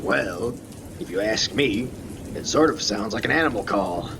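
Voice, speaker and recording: A man playing a calm, wise, mysterious lighthouse keeper in a student radio play, speaking in a deliberate storytelling way with pauses. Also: lowish voice, creaky. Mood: leading, mysterious. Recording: rain and thunder noise behind. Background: rain and thunder.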